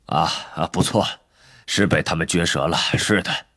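Bashful, awkward big storybook gamekeeper , voiced by a man: low, halting mumble over noticeable noise. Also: fairly bright tone. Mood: sheepish.

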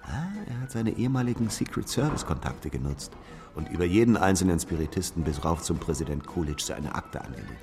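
An actor playing a hard, relentless interrogator in a German radio drama, speaking with sardonic, accusing insistence. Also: male voice, low-pitched, expressive, a little fast. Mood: accusing, insistent. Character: hard, relentless male interrogator confronting an aged knighted author. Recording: long reverb with music behind.

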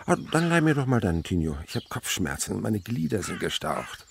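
A man's voice: lowish voice, big pitch swings, fairly quick, gravelly, background noise. An actor playing a whimsical, sceptical insect researcher and father in a German radio play, with a pedantic, playful way of lecturing. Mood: playful, teasing.

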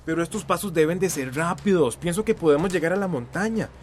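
A man's voice: on the high side, lively intonation, fast talker, slight background noise, lightly acted. An actor playing an impatient, defiant young village man in a radio drama. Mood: insistent.

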